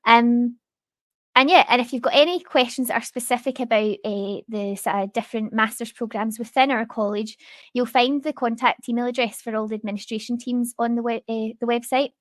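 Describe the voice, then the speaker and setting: Woman, panel host, fairly high voice, dry sound, informal hesitant phrasing. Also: steady tone, fairly quick, dry recording. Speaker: host, university staff moderator. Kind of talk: hosting an online student Q and A panel. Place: online video call.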